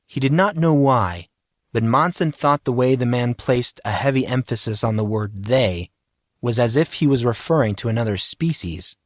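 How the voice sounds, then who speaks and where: A man narrates an audio story in a scripted, dramatic style. His voice sits at a middle pitch, and the recording is dry and close. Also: expressive, normal speed, dry recording.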